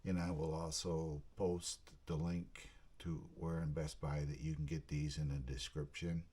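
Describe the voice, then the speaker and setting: A man giving a casual, halting hands-on unboxing commentary, muttering to himself as he works. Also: a bass voice, little pitch movement, dark tone. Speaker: video host, product unboxer. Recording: clear background noise, handling clicks.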